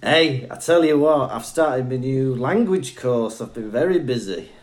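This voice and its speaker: A man's voice: medium pitch, animated, unhurried. A young adult man from Wales co-hosting a podcast, chatting casually, telling stories and laughing often. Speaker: podcast co-host. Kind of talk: casual chat.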